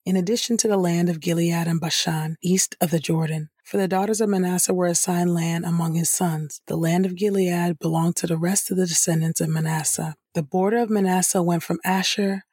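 A woman co-hosting a podcast, reading scripture aloud in a measured, deliberate way. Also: lowish voice, steady tone, a little mellow, slightly rough, no room sound, studio quality. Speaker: scripture reader and podcast co-host.

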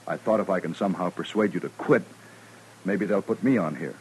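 A man's voice: sits low, brisk, slight background noise, natural voice, rough recording. A man acting in a 1950s radio western as an earnest, self-assured former army officer, eager, insistent and formal in manner. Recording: vintage old-time radio recording.

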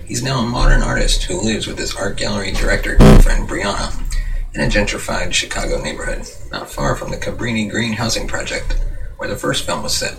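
A man hosting a movie show, reading scripted news and reviews in a slightly halting way. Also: fairly low pitch, gravelly. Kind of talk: scripted narration, reading box office news and a film review. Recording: noisy room, distant microphone.

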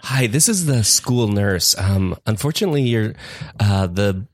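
Guy doing a meek school caller, stilted and hesitant, pitch jumps around, dry close sound. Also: lively intonation, unhurried, no room sound. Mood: hesitant, apologetic. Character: meek school caller pretending to be a robotic recording. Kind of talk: improvised comedy scene.

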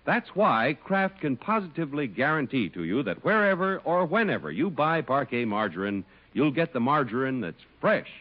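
A man's voice: mid-range voice, big pitch swings, moderate pace, few pauses. A man announcing on mid-century radio, reading scripted copy and narration with an emphatic, polished delivery. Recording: dull vintage radio broadcast recording.

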